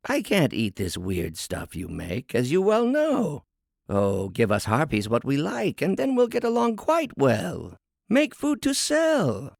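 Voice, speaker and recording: A man's voice: mid-range voice, vocal fry, no room sound, clean studio sound, voice pushed up, full character voice. A man voicing a haughty, scheming female harpy in a storybook fable, preaching with pompous, condescending, wheedling oratory. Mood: scolding, smug. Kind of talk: dramatic storybook fable reading.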